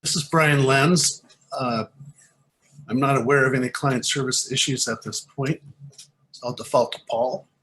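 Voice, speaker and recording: A man speaks briefly and informally to colleagues on a conference call as client services staff. His voice is of medium pitch. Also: steady tone, measured pace, long pauses, fairly bright tone, rough recording.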